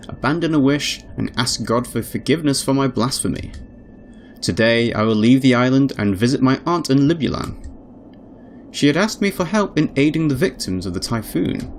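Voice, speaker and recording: A man reading a visual novel aloud as a let's play host, in an expressive storybook style with casual asides. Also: mid-range voice, a little hiss or hum. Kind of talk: dramatic read-aloud narration with casual asides.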